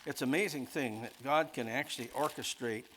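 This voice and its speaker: A man's voice: medium pitch, a little fast, fairly bright tone, a little hiss or hum, low-quality recording. A man preaching in an informal teaching style, rambling conversationally off the cuff and often checking in with his listeners. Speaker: preacher teaching a Bible class.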